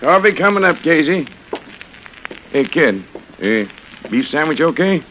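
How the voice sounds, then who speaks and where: An actor in a 1940s radio drama playing a chatty, friendly diner cook who talks briskly, heard through a muffled old broadcast. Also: male voice, on the high side, lively intonation, a little hiss or hum. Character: cheerful talkative diner cook, Mike.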